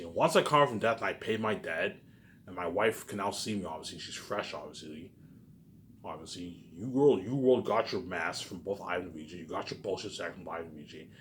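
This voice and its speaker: A man's voice: low-pitched, fairly bright tone, a little hiss or hum. A man in an agitated, confrontational unscripted rant, repeating himself and swearing often. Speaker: online video maker.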